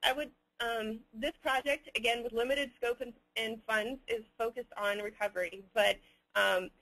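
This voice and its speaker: A man answering audience questions as a webinar presenter, unscripted, hesitant and halting as he picks his words with care. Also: very high-pitched, steady tone, bright, forceful tone, low-quality recording.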